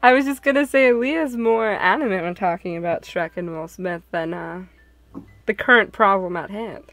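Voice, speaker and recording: A woman's voice: mid-range voice, moderate pace, fairly bright tone, dry recording. A woman hosting a group game stream, chatting casually with the others. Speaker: host and narrator of a group visual novel stream.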